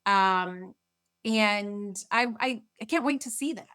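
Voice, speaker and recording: A woman's voice: medium pitch, takes it slowly, fairly bright tone, clear, resonant tone. A middle-aged woman from Argentina, a marketing executive being interviewed, speaking casually with frequent fillers, repeats and restarts. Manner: hesitant, many restarts and fillers.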